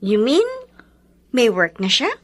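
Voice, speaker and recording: An actress playing an expressive, nosy young best friend with a Filipino accent, exclamatory and mixing in English, in a radio drama. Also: female voice, mid-range voice, slow pace. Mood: curious.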